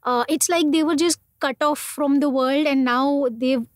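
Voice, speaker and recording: A young Indian woman telling travel stories in a relaxed interview, rambling on with plenty of ums and restarts. Her voice sits a bit high and sounds close and dry, with no room around it. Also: a little slow, no room sound. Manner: chatty, many fillers and restarts. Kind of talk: casual conversational storytelling.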